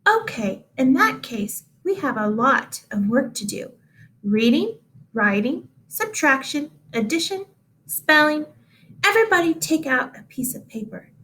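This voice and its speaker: A woman's voice: sits high, sing-song, unhurried, bright, forceful tone, heavily acted, rough recording. A woman voicing a nice but flustered schoolteacher in a storybook, exclaiming emphatically. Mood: brisk, bright.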